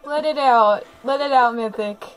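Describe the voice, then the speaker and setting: A woman's voice: a little high, normal speed, clean tone, poor audio. A woman giving casual live reaction commentary on a cartoon, gushing and sentimental, with frequent small exclamations. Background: music from the cartoon being watched.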